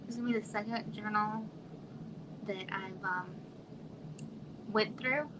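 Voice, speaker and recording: A woman from Arizona in her thirties, chatting casually on a home livestream in a quiet, soft voice that trails off and sometimes drops to a whisper. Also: medium pitch, a little slow. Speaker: livestream host. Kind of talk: casual live chat with viewers. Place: home room. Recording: noisy home livestream audio.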